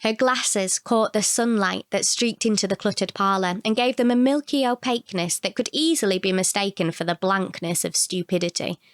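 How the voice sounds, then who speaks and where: A woman reads a short story as its narrator. Her voice sits at an average pitch for a woman. The sound is dry, with very little room in it. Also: normal speed, few pauses, dry recording.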